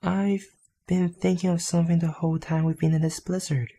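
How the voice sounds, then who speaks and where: Guy doing gentle young girl goat, anime fan dub, mellow n creaky, soft spoken. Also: a little high, level tone, fairly quick. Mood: wistful. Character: gentle young female goat, selfless and serene.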